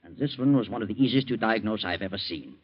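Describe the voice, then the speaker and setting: A muffled vintage radio recording presents a husky male autopsy surgeon. His pitch swings widely as he gives deliberate, frank clinical explanations in dramatic radio style. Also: expressive. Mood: emphatic, certain. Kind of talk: 1940s radio acting.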